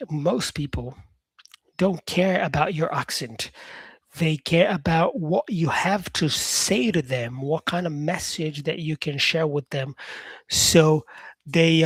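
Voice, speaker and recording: A man who teaches English, talking casually to his viewers about their comments, upbeat and encouraging, often restarting himself and filling pauses. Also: a little high, slightly rough, no room sound. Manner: rambling, restarts himself, many fillers.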